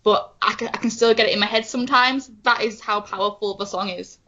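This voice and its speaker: A woman co-hosting a fan podcast, talking in rambling, excitable bursts. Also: mid-range voice, fairly quick. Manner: rambling, restarts sentences, excitable. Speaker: podcast co-host. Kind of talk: casual fan chat. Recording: dull lo-fi audio.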